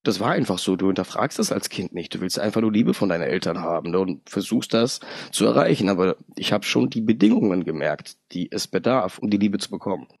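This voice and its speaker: A man's voice: low-pitched, expressive, fairly quick, creaky, breathy edge, dry recording, clean studio sound. A man in his thirties, a podcast guest, telling his personal story in a reflective way and often adding tag questions. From Germany. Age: 30s.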